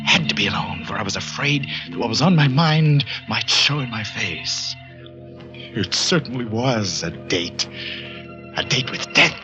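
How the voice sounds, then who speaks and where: An actor playing an obsessed, half-mad pianist in a 1940s radio horror drama, with an intense, brooding, theatrical delivery. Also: male voice, on the low side, animated, measured pace, muffled recording, loud music behind the voice. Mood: brooding confession. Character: obsessed, half-mad pianist who lost his hand. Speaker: lead actor and first-person narrator.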